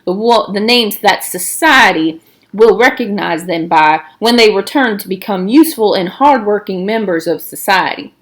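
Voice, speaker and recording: A woman acts out an upbeat, preachy male camp counselor from a storybook. The delivery is earnest and patronizing, leaning hard on words at a middle to raised pitch. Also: moderate pace, natural voice.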